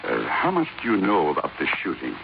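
A man's voice: a bass voice, wildly swooping pitch, a little fast, creaky, exaggerated voice. A man acting a guarded, blunt older business magnate in a 1950s radio drama, speaking imperiously. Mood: guarded, confiding. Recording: dull, lightly noisy 1950s radio recording.

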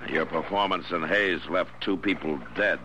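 An American actor playing a stern, stubborn frontier marshal in a 1950s radio western, with a dry, measured, firm delivery. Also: male voice, low-pitched, sharp tone. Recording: noisy old radio recording.

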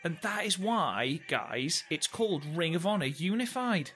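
A man's voice: a little high, very animated, unhurried, a bit bright. A young man co-hosting a podcast, chatting and joking while watching a match. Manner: teasing, jokey. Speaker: podcast co-host. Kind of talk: casual watchalong chat.

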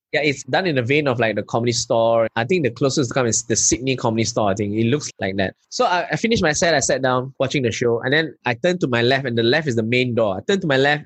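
A Malaysian-accented man, a stand-up comic, spins animated stories in a relaxed interview and now and then drops a local "lah". His voice has a husky grain, and the recording is dry and close. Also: mid-range voice, no room sound. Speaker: stand-up comedian, guest. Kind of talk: casual interview storytelling.

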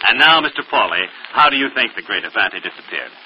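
A male actor's clever, unruffled detective from 1930s radio. He speaks with polish in a medium-pitched voice. The recording is dull, with faint noise. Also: lively intonation, fast talker, natural voice. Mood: courteous hosting. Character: composed, clever young male amateur detective. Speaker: detective and quiz host. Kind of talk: 1930s radio acting and hosting.

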